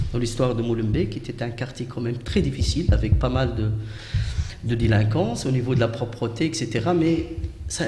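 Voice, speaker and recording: A man hosting an interview, asking questions in a halting, unscripted way and often repeating his words. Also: mid-range voice, mellow. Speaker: interviewer, host. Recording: echoey reverberant room, clearly noisy.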